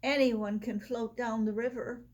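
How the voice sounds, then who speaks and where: A woman's voice: on the high side, fairly even intonation, unhurried, darkish tone, lightly acted. A woman voicing a mopey, self-doubting male pig from a children's storybook, glum and plaintive. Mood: moping.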